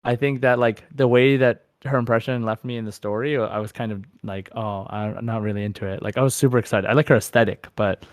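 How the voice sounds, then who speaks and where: A man's voice: mid-range voice, fairly even intonation, a little fast, few pauses, no echo. Casual male podcast host, close dry sound. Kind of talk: casual gaming podcast chat.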